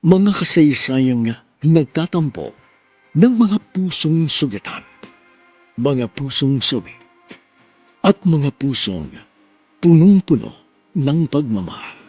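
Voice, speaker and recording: Male radio host-narrator, formal and polite, soft music bed. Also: fairly high pitch, sing-song, slow, pauses often, husky, no echo. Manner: warm, formal, polite address. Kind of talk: scripted romantic narration.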